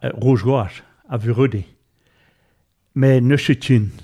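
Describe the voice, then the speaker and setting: A man's voice: medium pitch, slow pace, warm tone. A man chatting casually in conversation.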